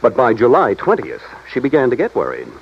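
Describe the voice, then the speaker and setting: An actor playing a matter-of-fact insurance man who explains things briskly in an old-time radio drama. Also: mid-range voice, huge pitch swings, a little hiss or hum. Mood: businesslike. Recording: dull vintage radio drama recording.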